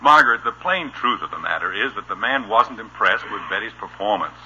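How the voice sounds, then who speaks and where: Fed up sarcastic 50s radio dad, sharp voice, up and down a lot, bit of noise. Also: male voice, fairly low pitch, expressive, brisk, highs cut off. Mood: firm. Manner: dry, blustering. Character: exasperated, sardonic middle-aged suburban father. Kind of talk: 1950s radio sitcom acting. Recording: noisy old radio recording.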